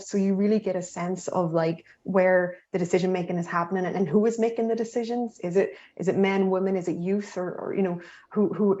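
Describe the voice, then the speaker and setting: A woman's voice: mid-range voice, warm tone, dull audio. A woman on a panel answering questions in an earnest way, with many restarts and fillers.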